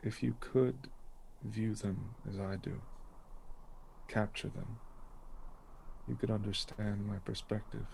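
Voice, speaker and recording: A man playing a serene, detached superhuman being who speaks calmly and without emotion in a drama. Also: fairly low pitch, vocal fry, a little hiss or hum, heavily acted. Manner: calm, emotionless, measured. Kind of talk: drama dialogue.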